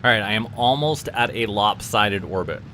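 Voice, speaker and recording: A man's voice: lowish voice, animated, moderate pace, pushed and bright, a little hiss or hum. A man from Canada streaming a game, rambling and chatting with viewers and tripping over repeated words. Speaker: VTuber streamer. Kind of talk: casual gaming livestream chat.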